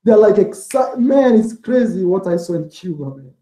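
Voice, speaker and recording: A man's voice: high-pitched, lively intonation, moderate pace, mellow, echoey room. A man being interviewed as a guest, talking in a loose, rambling way and leaning on "like" and "man" as fillers. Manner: rambling, heavy use of "like" and "man". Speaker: interview guest, travel vlogger. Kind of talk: casual interview chat.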